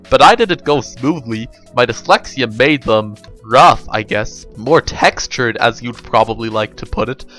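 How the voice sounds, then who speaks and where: A man's voice: medium pitch, pushed and bright. A man voicing a smug, foul-mouthed girl with a taunting, sarcastic manner in a story reading. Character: smug, triumphant, foul-mouthed girl who outwits others.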